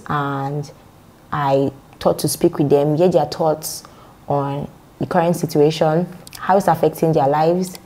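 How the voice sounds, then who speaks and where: A woman's voice: deep voice, darkish tone. A woman from Nigeria, talking to her subscribers in a friendly, chatty way and then asking passers-by how they feel. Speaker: vlogger and medical resident. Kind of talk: direct-to-camera vlog intro, then street interviews.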